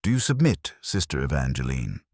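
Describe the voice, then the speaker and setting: A doctrinaire sister who instructs warrior nuns, read by a man recites doctrine solemnly. The voice is very low and husky, formal and preaching, in a dark sci-fi audio story. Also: wildly swooping pitch, fairly quick, dry recording, clean studio sound, heavily acted. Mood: stern, preaching.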